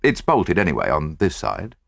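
A man's voice: rumbling low, wildly swooping pitch, normal speed, gravelly, no echo, clean studio sound, full character voice. A man playing a practical, authoritative English police inspector in a storybook reading, plain-spoken and businesslike.

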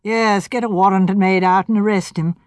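A woman's voice: mid-range voice, steady tone, normal speed, a little mellow, dry recording, studio quality, natural voice. A woman voicing a formal, authoritative male chief constable. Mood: stern. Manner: formal, warning.

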